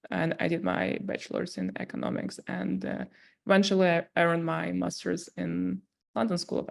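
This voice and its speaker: A woman's voice: lowish voice, gravelly. A woman with a strong Russian accent, a guest in an interview, telling her story in earnest with frequent fillers. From southern Russia. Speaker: guest, tech company CEO.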